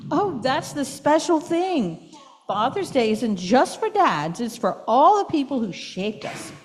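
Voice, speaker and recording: A cheerful puppet, acted out by a woman speaks to children in a gentle, encouraging way. The voice sits high and moves up and down with lively exclamations, as in a kids' puppet show. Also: a little slow. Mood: cheerful, warm.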